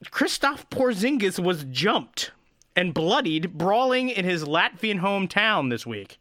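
A man co-hosting a sports podcast, talking in an animated, rambling way with many restarts. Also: high voice, measured pace, no room sound, clean studio sound. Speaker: podcast co-host. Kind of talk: casual comedic sports chat.

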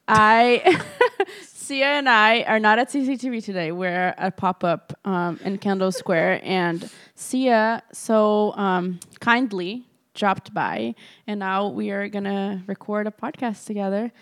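A woman's voice: mid-range voice, takes it slowly, fairly bright tone. Young woman hosting a casual podcast. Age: 20s. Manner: informal, playful, effusive. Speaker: podcast host. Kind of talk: casual podcast chat with a friend.

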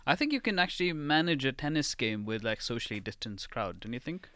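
A man's voice: mid-range voice, animated, fairly quick, a bit bright, dry recording. A man co-hosting a casual podcast, chiming in with short remarks. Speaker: podcast co-host. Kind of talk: casual chat.

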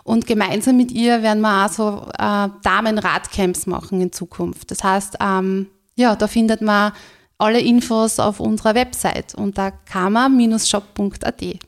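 A woman's voice: medium pitch, steady tone, normal speed. A woman from rural Styria with an Austrian German accent, a guest who talks candidly and chattily, with many fillers. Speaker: guest, business co-founder. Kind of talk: casual interview talk.

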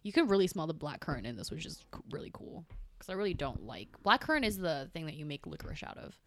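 A woman's voice: low-pitched, sing-song, fast. A woman in her twenties whose mother tongue is Chinese, chatting loosely as a podcast guest with frequent swearing and rambling asides. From grew up with Chinese. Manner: rambling, profane, laughing asides. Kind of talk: casual conversation.

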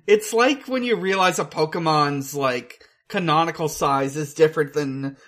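A woman co-hosting a podcast, talking casually and haltingly about a movie, with some swearing. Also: sits low, a bit bright. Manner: halting, profane. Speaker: podcast co-host.

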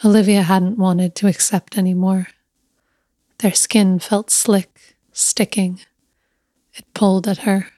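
A woman narrates a literary tale with slow, deliberate phrasing. Her delivery is hushed and stays within a narrow, even range of pitch. The recording is dry, with almost no room around the voice. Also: dry recording. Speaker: story narrator. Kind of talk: scripted literary story narration.

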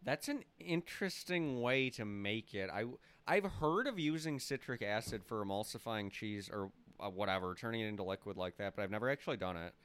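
Lively young man chatting casually. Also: fairly low pitch, fairly quick, hardly any pauses, smooth. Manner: animated, laughs easily. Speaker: podcast co-host. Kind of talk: casual chat.

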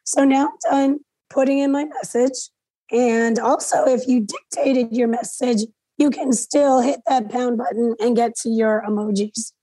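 A hoarse woman teaching a class over a video call, explaining each step aloud and often clearing her throat. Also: fairly high pitch, fairly even intonation, unhurried, dark tone, no echo, poor audio. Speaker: instructor.

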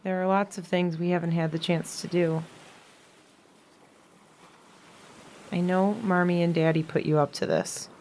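Lowish, weary young American sister, played by a woman. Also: fairly even intonation, a little fast, darkish tone, slight background noise, lightly acted. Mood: weary, frank. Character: weary, frail young younger sister, gentle but frank. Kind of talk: modern audio drama acting.

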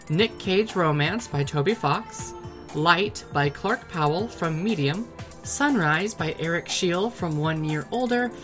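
A woman, the project director, reading a scripted outro with credits and links in a steady, friendly way. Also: low-pitched.

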